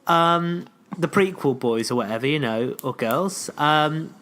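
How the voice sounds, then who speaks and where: A man's voice: on the high side, expressive, unhurried, fairly bright tone. A man co-hosting a podcast in casual chat, blunt, swearing freely and laughing often. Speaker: podcast co-host.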